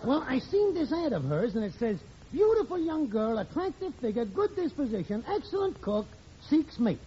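Wisecracking busybody neighbor, very animated, high voice, slangy, vintage radio comedy, muffled faintly noisy sound. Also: male voice, moderate pace. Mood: wry. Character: nosy wisecracking neighbor husband, gleefully alarmist. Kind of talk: 1940s radio comedy acting.

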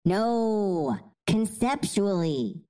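A woman's voice: medium pitch, expressive, drawn-out words, mellow. A woman playing a bossy, earnest young girl with schemes, in a comedic audio sketch. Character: bossy, scheming young girl, prize-obsessed best friend.

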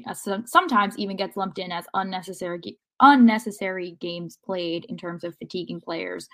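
A woman's voice: medium pitch, a bit bright. A woman co-hosting a soccer podcast, explaining her view analytically in long, winding sentences. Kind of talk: analytical sports podcast discussion.

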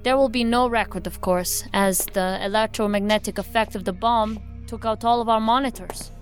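An actress playing a serious, formal federal agent reporting in a measured, matter-of-fact way in an audio drama. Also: female voice, medium pitch, steady tone, soft background music, natural voice. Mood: serious, puzzled.

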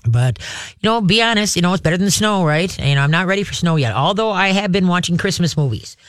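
A man hosts a radio show in a chatty, rambling way, with a voice a little higher than most men's. He talks straight into a studio mic, and the sound is dry and close with no room around it. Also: expressive, quick pace, fairly bright tone, dry recording. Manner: chatty, rambling, laughs at own remarks, frequent fillers. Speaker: radio show host on dogs. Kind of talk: casual radio talk monologue. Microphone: studio microphone.

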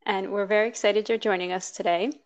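A woman's voice: medium pitch, steady tone, fast, no room sound. A woman hosting a podcast, upbeat and chatty, with easy laughter in a casual conversation. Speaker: podcast host.

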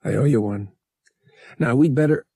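A man's voice: medium pitch, normal speed, creaky, mellow, breathy edge, dry recording, studio quality. A man voicing a young roguish space adventurer in an audiobook, casual and wry, with slangy speech.

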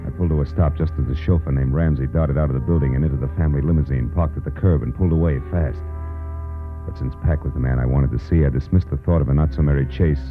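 Tough wry radio detective, real low voice, clipped and sardonic, muffled old 40s broadcast. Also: male voice. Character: hard-boiled male private detective, wry, shrewd and insistent. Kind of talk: 1940s radio drama acting with first-person narration.

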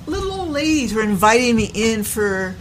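An older woman telling her stepdaughter about her past in a casual interview, unhurried and reflective, often trailing off while she searches for words. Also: a little high, sing-song. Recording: noticeable background noise.

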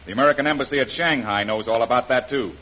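A gallant, defiant adventure hero of 1930s radio. His voice is husky and medium-pitched, his manner firm and drily sardonic. The sound is muffled and aged. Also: fast, bright, forceful tone. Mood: dry defiance. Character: brave, defiant adventurer hero held captive. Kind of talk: 1930s radio serial acting. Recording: muffled, lo-fi old radio recording.

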